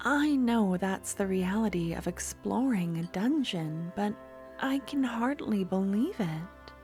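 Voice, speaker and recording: A woman voicing a doting, possessive young woman merchant in an ASMR roleplay, speaking softly and often slipping into whispers. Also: mid-range voice. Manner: soft, intimate, slips into whispers.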